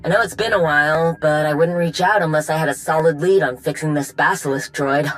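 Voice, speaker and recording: A woman plays a proud warrior mechanic in a video game. She talks in a practical, guarded way with a wry edge. Music sits softly underneath. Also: deep voice, brisk, natural voice. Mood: guarded concern. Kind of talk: video game cutscene acting.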